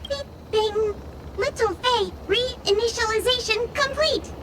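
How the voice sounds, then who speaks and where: Small helper drone, video game: very high, deliberate, chirpy machine announcements. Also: noisy background. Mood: mechanical, chirpy. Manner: flat system announcements, stilted phrasing. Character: small chirpy repair drone robot reporting status. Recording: game music under the voice.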